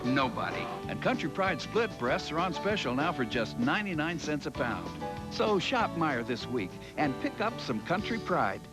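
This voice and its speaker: A man reading a commercial as an announcer in a friendly, lively sales pitch. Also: moderate pace, music in the background. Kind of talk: scripted radio-style ad read.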